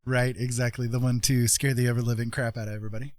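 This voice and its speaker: A man's voice: medium pitch, level tone, fast talker, no echo. A man hosting a livestream, talking in a rambling, self-deprecating, casual way. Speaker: livestream host, author and artist.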